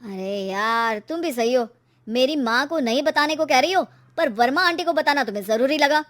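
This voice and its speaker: A woman's voice: sits high, expressive, normal speed, fairly bright tone. A woman voicing an earnest, easily exasperated young married shopkeeper in a cartoon story.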